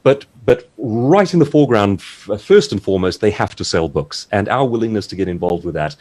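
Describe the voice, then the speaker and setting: Male seminar speaker, husky and highly animated, articulate explainer with a conversational, instructive approach. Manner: articulate, explanatory, measured phrasing. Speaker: author and speaker giving a writing seminar. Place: online video-call seminar.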